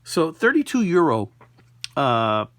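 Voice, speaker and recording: A man's voice: fairly high pitch, huge pitch swings, slow, a bit bright. A man talking casually and unhurriedly, like a collector presenting an item in a homemade video. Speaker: knife collector presenting a find. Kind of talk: casual product showcase.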